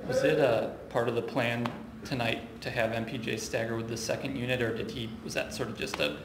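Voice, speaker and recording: A man's voice: medium pitch, brisk, roomy sound, very noisy. A man reporter asking brief, direct questions at a press conference.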